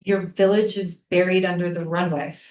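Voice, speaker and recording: Female soldier, read by a woman on stage: earnest, haunted, slightly low register, echoing and distant. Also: steady tone, normal speed, darkish tone, natural voice. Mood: searching. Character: female American soldier interrogator, searching, haunted, intimate. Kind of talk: staged play reading. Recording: echoey room, distant from microphone.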